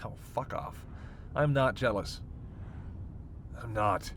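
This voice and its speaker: A man's voice: mid-range voice, slow, a bit bright, a little hiss or hum. A man playing a sarcastic, teasing immortal vampire passenger in a roleplay audio drama, needling and foul-mouthed with theatrical exasperation. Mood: smug, defensive.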